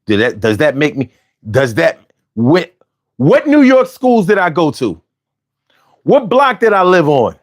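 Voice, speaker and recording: A man's voice: fairly high pitch, wildly swooping pitch, a little slow, long pauses. A man in his forties from Philadelphia with a Philly accent, hosting a casual, argumentative monologue full of slang and restarts. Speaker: host.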